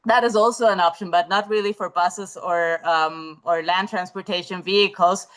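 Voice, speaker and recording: A woman's voice: on the low side, fairly even intonation, normal speed, a bit bright, poor audio. A woman presenting in a live webinar over a video call, talking with many fillers and restarts as she works through her answer.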